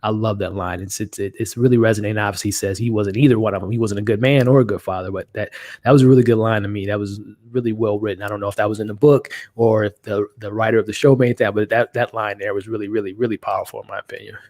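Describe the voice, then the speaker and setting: A man's voice: lowish voice, steady tone, fast, a little mellow, no echo, studio quality. Nasally man rambling on a live stream. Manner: rambling, many restarts and fillers. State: nasally from allergies. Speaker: host of a live watch-along stream. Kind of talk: casual live-stream commentary, reading chat.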